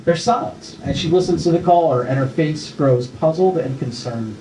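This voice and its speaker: A man's voice: fairly high pitch, normal speed, mellow. A man reading screenplay stage directions aloud as the narrator at a table read. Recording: roomy echo with clear background noise.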